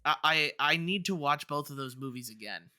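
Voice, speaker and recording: A man co-hosting a podcast in casual chat, haltingly repeating words as he thinks aloud. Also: on the high side, animated, sharp tone, smooth, no echo, studio quality. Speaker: podcast co-host.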